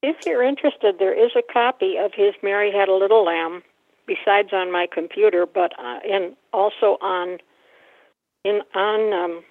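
A woman in a casual group discussion, halting and repeating phrases, heard over a lo-fi call. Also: medium pitch, no echo. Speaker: book club participant. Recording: dull, lo-fi call audio.

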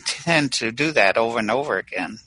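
A man's voice: mid-range voice, animated, a little fast, sharp tone, slightly rough, no room sound, poor audio. A man in a book club discussion who speaks hesitantly, leaning on fillers. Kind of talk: casual group discussion.

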